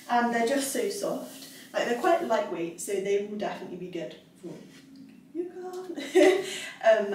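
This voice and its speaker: A woman's voice: medium pitch, a little mellow, far from the mic, slight background noise. Bubbly giggly young woman in echoey room. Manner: bubbly, giggly, chatty with many fillers. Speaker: fitness vlogger. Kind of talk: casual try-on haul chat. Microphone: camera across the room.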